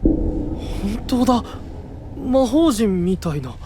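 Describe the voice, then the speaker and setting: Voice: medium pitch, sing-song, extremely slow, noisy background. An actor playing a practical, scolding young boy knight in an anime-style voice drama, with blunt boyish speech. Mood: urgent.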